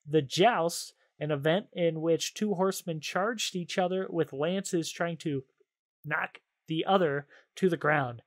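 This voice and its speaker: A man's voice: fairly high pitch, a little slow. A man hosting a podcast in casual chat, playful and jokey, reading out trivia cards and often amused. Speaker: podcast host and quizmaster.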